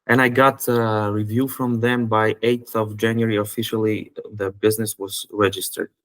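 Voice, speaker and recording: A man from North Macedonia, with a fairly low voice, answers a host's questions. His replies are short and hesitant, with pauses and fillers. The recording is dry. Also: little pitch movement, dry recording. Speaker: guest, student seller. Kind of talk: interview answers.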